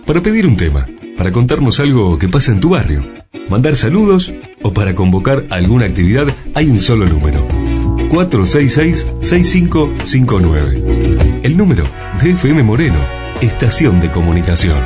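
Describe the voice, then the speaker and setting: A man's voice: medium pitch, big pitch swings, moderate pace. A man with an Argentine accent reading a scripted radio station promo as its announcer. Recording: loud guitar music under the voice. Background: loud guitar music.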